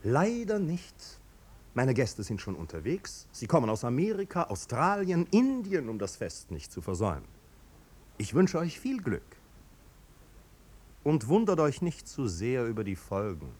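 An actor playing a snobbish, amused aristocrat with a languid, ironic manner in a 1950s German radio drama. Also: male voice, huge pitch swings, unhurried, darkish tone. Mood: blasé, faintly menacing. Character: snobbish, amused aristocratic marquis, blasé and mocking. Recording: old radio recording, rumbling background noise.

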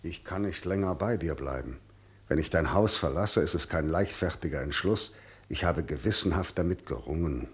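An actor playing a cold, authoritarian middle-aged prosecutor and father in a German radio drama, formal, sardonic and husky. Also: low-pitched, moderate pace, fairly bright tone. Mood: sardonic, contemptuous.

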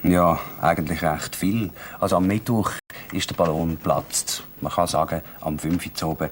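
A man's voice: sits low, steady tone, moderate pace, noisy background. A man with a Swiss German accent, recounting events as a radio reporter.

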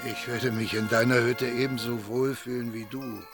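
An actor playing an assured, quietly authoritative mafia boss in hiding in a radio crime drama. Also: male voice, mid-range voice, fairly even intonation, moderate pace, over music, natural voice. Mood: assured, genial. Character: commanding mafia boss in hiding, seeking shelter.

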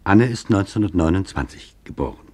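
A man from Germany reads scripted literary documentary narration as its author, solemn and deliberate, with rhetorical phrasing. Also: low-pitched, expressive, mellow, breathy edge.

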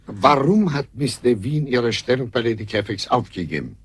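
An actor playing a courteous, inquisitive gentleman investigator in a German radio drama, polite and slightly hesitant. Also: mid-range voice, sing-song, normal speed, slightly rough, full character voice.

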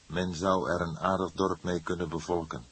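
Male audiobook narrator, extremely low pitch, husky tone, measured scripted crime storytelling. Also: little pitch movement, fairly bright tone.

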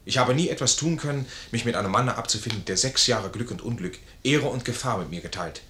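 An actor playing a proud, honourable discharged major, formal and commanding, in a 1950s German radio play. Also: male voice, medium pitch, lively intonation, fairly quick, a bit bright, lightly acted. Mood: grave courtesy.

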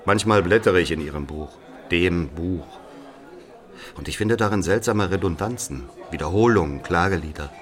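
A man playing an aging Algerian who confesses an old killing in a brooding, deliberate literary monologue. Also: low-pitched, natural voice. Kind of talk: literary monologue, dramatic audio narration.

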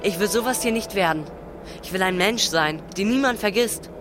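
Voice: mid-range voice, normal speed, over music, lightly acted. A performer playing a scornful, domineering boy of thirteen, a scheming ringleader in a German radio drama. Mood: defiant. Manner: bossy, contemptuous, intense.

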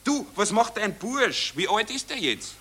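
Smug Austrian officer, sharp high voice. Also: male voice, very animated, a little slow, slightly rough, exaggerated voice. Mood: idle, chatty. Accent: Austrian, Viennese. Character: smug, blasé, cold-hearted army officer. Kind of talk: satirical radio play dialogue in dialect.

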